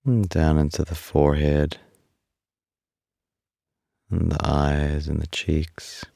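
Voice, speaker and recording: A man leading a guided body scan meditation in a calm, unhurried, soothing way, leaving long pauses between instructions. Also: rumbling low, creaky, no room sound, studio quality.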